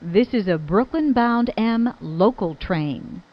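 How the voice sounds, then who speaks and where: A woman's voice: medium pitch, expressive, slow, lo-fi sound, slight background noise. A woman reading scripted subway announcements in formal, clipped phrases that sound pieced together.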